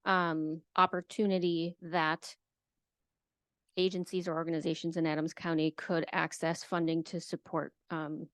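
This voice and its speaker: A woman who works as an extension educator, explaining a program to a county committee in a hesitant way, with many filler pauses. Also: sits low, flat delivery, clean tone, no room sound, studio quality. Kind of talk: committee meeting presentation.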